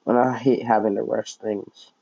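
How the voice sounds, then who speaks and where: Young man, low mellow voice, rambling fan-story narrator, dull lo-fi mic. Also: steady tone, normal speed, vocal fry. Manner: rambling, self-correcting, many fillers. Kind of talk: casual unscripted storytelling.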